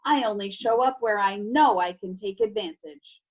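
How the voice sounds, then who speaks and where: A woman's voice: fairly high pitch, lively intonation, moderate pace, vocal fry, low-quality recording. Woman putting on a sinister, gloating male villain. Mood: smug boasting. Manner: menacing, boastful.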